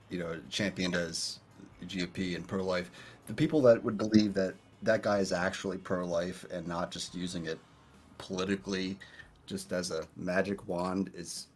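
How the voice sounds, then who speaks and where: A man speaking hesitantly on a video call, halting with fillers. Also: low-pitched, fairly even intonation, faint music behind. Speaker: panel guest. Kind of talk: casual chat on a video call.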